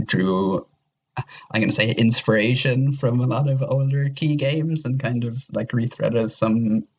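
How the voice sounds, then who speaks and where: A man's voice: on the low side, a little hiss or hum, rough recording. A man chatting casually as a podcast co-host, rambling and hesitant, with casual swearing. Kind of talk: casual chat about visual novels.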